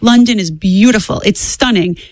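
A woman's voice: medium pitch, normal speed, darkish tone, no echo. A woman in her twenties giving opinionated political commentary as a guest, speaking emphatically and repeating points for stress. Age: 20s.

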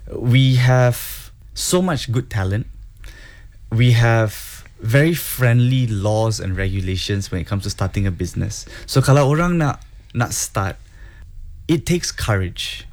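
A man's voice: mid-range voice, darkish tone. A man speaking as a guest, giving earnest, encouraging advice and repeating words for emphasis. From grew up in Singapore and Hong Kong. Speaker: guest entrepreneur. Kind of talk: casual advice talk, mixing English and Malay.

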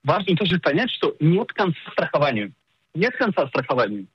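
A middle-aged man explaining things as an expert guest in a radio interview, didactic and emphatic, pausing to search for words. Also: on the high side, sing-song, husky, no room sound. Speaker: insurance agent and financial planner, radio guest. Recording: dull lo-fi radio sound.

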